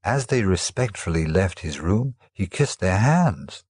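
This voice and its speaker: A man narrating traditional teaching stories as a storyteller, in a measured, formal manner. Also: sits low, very animated, a little mellow, breathy edge, no echo, studio quality. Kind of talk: scripted narration of teaching tales.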